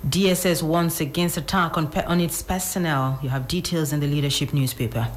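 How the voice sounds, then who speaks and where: Deep-voiced Nigerian female newscaster. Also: a little fast. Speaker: news presenter. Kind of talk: reading newspaper headlines.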